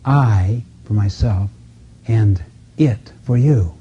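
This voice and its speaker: A man with a very dark, mellow and husky voice delivers a motivational self-help lecture. He speaks deliberately and emphatically, using rhetorical questions and repeated phrasing. The recording is muffled and dull. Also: on the low side. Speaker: lecturer on personal effectiveness.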